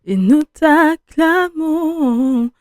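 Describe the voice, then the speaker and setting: A woman singing a slow worship song. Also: high voice, animated, soft background music.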